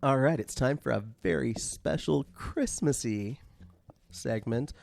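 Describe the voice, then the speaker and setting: A man's voice: mid-range voice, a little slow, vocal fry, a little mellow, faint music behind. A man hosting a comedy podcast with casual banter, speaking in a campy, profane and opinionated way.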